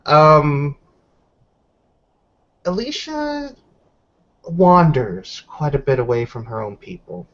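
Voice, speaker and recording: Man roleplaying a slow, deliberate werewolf investigator. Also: a little high, very animated, a bit bright, pitched above the performer's own voice, full character voice. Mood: guarded, sceptical. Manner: deliberate, hesitant, self-correcting. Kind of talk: improvised tabletop roleplay. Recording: dull lo-fi voice recording.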